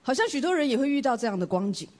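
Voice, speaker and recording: A woman's voice: high voice, very animated, rapid-fire. A woman preacher from Taiwan, giving an earnest, emphatic sermon with a personal testimony and rhetorical questions.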